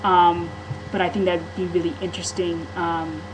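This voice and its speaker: A woman speaks to a camera microphone in a casual vlog, her voice sitting a little low. She talks candidly and rambles, with frequent ums and restarts. Clear background noise runs under the home-video recording. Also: flat delivery, moderate pace. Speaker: vlogger. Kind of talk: casual personal vlog monologue.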